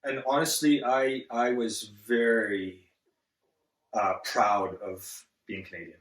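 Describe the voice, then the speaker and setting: A man born in Zambia, a guest on an interview, speaking earnestly and haltingly with many restarts. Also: mid-range voice, fairly even intonation, fairly bright tone, recorded from a distance. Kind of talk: casual interview. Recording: echoey room, set back from the mic.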